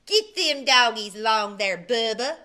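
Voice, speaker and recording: A woman doing a loud bossy cowboy brother. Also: on the high side, animated, a little slow.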